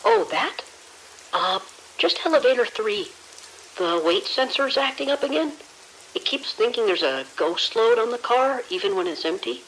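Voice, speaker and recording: A man's voice: very high-pitched, sing-song, normal speed, fairly bright tone, full character voice. An actor playing a deferential, slightly awkward junior security guard reporting to his boss in an audio drama, hesitant and casual. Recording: hissy, crackly radio-like noise.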